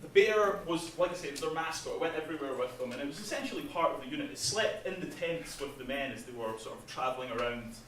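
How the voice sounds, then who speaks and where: A man's voice: on the high side, expressive, quick pace, few pauses, a bit bright. Man presenting in an echoey hall. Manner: chatty, laughs at his own jokes, many ums. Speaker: game developer presenting at an industry event. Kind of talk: casual conference presentation. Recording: echoey hall, speaker far from mic, faint noise.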